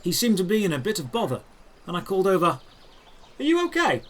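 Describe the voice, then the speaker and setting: A man narrating a first-person fantasy audio drama in a deliberate, descriptive way with dramatic pauses. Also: sits high, expressive. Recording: clear background noise with birdsong. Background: birdsong and outdoor ambience effects.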